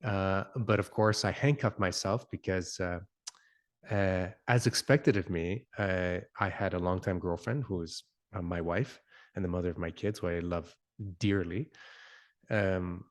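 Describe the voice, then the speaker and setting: Hesitant low-voiced man, interview guest. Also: a little slow. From Canada. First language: Italian. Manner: hesitant, many fillers and restarts, reflective. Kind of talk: conversational interview.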